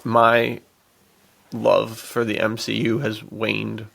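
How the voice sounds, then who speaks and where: A man's voice: lowish voice, monotone, slow, creaky, a bit bright. A man co-hosting a podcast, talking casually about films in loose, slangy language. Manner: informal slang, dude-heavy. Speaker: podcast co-host.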